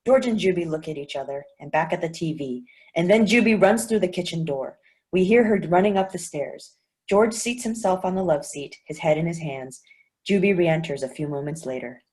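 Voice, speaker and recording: Woman, stage directions reader, plain even delivery, low voice. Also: normal speed, rough recording.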